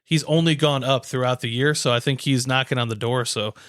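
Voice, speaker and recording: A man's voice: mid-range voice, steady tone, fairly quick, a bit bright, dry recording, studio quality. A man bantering casually as a sports podcast co-host, with slangy bro talk.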